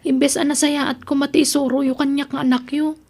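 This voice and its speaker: A woman's voice: sits high, level tone, fairly quick, darkish tone. A woman acting as an honest, principled young daughter in an Ilocano radio drama, earnest and pleading, with an Ilocano accent. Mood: reproachful. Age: young adult.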